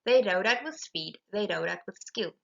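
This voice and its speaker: A woman reading a rhyming children's storybook aloud in an animated, emphatic way. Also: mid-range voice, moderate pace, gravelly, highs cut off. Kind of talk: expressive rhyming storybook narration.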